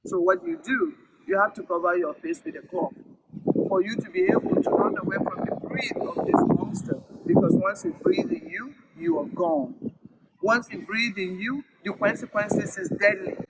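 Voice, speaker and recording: A woman's voice: on the high side, huge pitch swings, moderate pace, creaky, far from the mic. A woman telling a story aloud to children in a dramatic, emphatic way, repeating phrases for effect. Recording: noisy recording with loud background noise.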